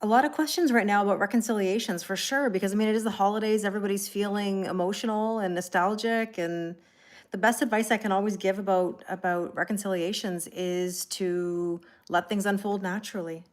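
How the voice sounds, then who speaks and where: A woman's voice: medium pitch, steady tone, brisk. Chatty Canadian woman on a live stream. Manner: chatty, friendly, laughs often, reads out viewer names. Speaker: tarot reader hosting a live stream. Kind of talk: casual live-stream chat greeting viewers.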